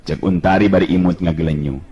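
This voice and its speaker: A man narrating a folk tale as a storyteller, in a brisk, measured way. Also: deep voice, fairly even intonation, warm tone, lo-fi sound.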